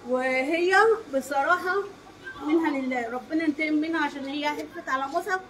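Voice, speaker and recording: High-pitched middle-aged Egyptian woman, talking fast. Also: rough recording. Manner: emphatic, animated storytelling with quoted dialogue. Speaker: neighbour giving eyewitness account. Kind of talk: street interview testimony. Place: outdoors against a brick wall in a street. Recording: faint outdoor background noise.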